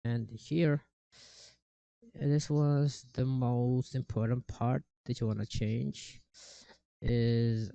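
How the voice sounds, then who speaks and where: A man giving a casual software tutorial in a halting, step-by-step way, speaking into a cheap microphone. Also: mid-range voice, vocal fry, smooth, dry recording. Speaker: tutorial presenter. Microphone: cheap microphone with software processing.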